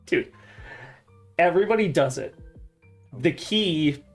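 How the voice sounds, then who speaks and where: A man's voice: a little high, lively intonation, slow, soft background music. A man hosting a casual stream, rambling and laughing while he works on a 3D model, with casual swearing. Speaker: streamer and podcast host.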